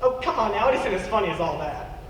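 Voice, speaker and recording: Plucky gentleman fugitive played by a man, polite, clipped and wry, radio play acting recorded distantly. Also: sits high, lively intonation, fast talker. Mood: exasperated. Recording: distant, echoey hall, noisy.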